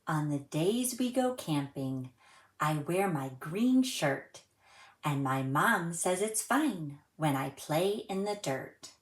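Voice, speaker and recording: A woman reads a picture book aloud for young children. Her voice sits a little low and moves at a very slow, careful pace, with expressive storytelling delivery. The room adds a clear echo around her words. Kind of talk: children's read-aloud storytelling and friendly presenting to young viewers.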